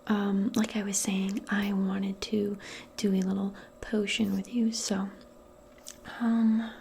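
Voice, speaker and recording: Soft spoken asmr witch lady, lots of whispering, mellow and slow, kinda hesitant. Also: mid-range voice, slight background noise. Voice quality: soft, breathy, often slipping into whisper. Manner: gentle, unhurried, hesitant and improvised. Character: modern witch, a gentle woman tending a guest. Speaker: ASMR roleplay host.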